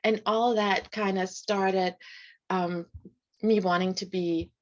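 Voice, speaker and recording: A middle-aged woman, a life coach, speaking softly in a casual video call interview, with light laughs. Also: medium pitch, fairly even intonation, slow pace. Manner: soft-spoken, often laughs lightly. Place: home room. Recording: slightly dull video call audio.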